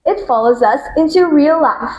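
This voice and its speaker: A woman with a fairly high voice co-hosts a podcast in an echoey room. She asks questions in an earnest, inquisitive way. The echo of the room is clear behind her voice. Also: lively intonation, normal speed, a little mellow, highs cut off. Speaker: podcast co-host. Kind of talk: student podcast hosting.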